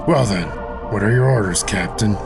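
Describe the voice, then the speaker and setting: An actor playing a patient, level-headed soldier and mentor in an audio drama. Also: male voice, lowish voice, normal speed, creaky, over music. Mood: firm.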